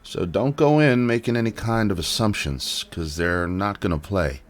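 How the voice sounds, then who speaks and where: A man's voice: expressive, normal speed, close to an everyday voice. A man playing a weary, plain-spoken middle-aged police detective in an audio drama. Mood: exasperated. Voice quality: deep voice. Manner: plain-spoken, blunt, emphatic. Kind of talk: naturalistic audio drama acting.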